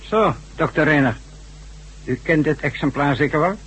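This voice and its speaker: Ruthless chief, radio drama, husky, slightly high-pitched, commanding, coolly mocking. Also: male voice, normal speed, fairly bright tone, low-quality recording. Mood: stern. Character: ruthless male chief of a secret organisation. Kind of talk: Dutch radio drama acting.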